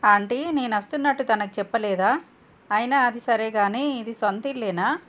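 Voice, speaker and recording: A woman plays a boastful young city woman from a cartoon moral tale. She talks haughtily, dropping English words to sound stylish, with a pitch that often lifts upward. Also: normal speed, a bit bright, natural voice. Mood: haughty, demanding. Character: young modern fashionable city woman, boastful, brash, English-sprinkled speech. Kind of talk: cartoon moral story voice acting.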